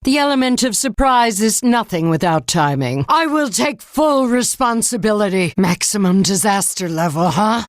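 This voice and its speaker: An actress playing a tough, resolute government leader in a dramatic video game, speaking in a blunt, commanding way. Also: female voice, medium pitch, lively intonation, moderate pace, few pauses, no room sound, studio quality, lightly acted. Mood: grave, determined. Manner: blunt, commanding, hard-nosed. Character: tough, resolute female government leader fighting a giant monster.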